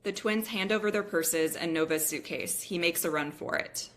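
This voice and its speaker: Female narrator for a screenplay table read, slightly low register, delivering scene headings and action. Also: normal speed, fairly bright tone, slight background noise. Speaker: reader of stage directions.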